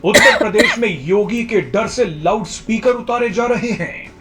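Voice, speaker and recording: Man, high voice, Indian accent, dramatic scripted news voice-over, emphatic, background music. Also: big pitch swings, a little slow. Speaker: news video narrator. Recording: music bed under voice-over.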